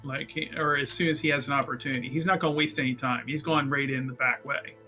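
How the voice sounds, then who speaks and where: A man's voice: medium pitch, steady tone, fast, sharp tone, faint music behind. A man playing a tabletop roleplaying game, talking casually and emphatically about his character's plan.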